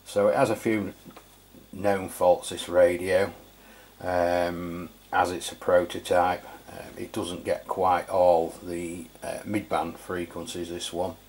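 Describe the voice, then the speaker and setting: A man's voice: low-pitched, level tone, slow, a little mellow. A man giving an informal, matter-of-fact product review as a channel host.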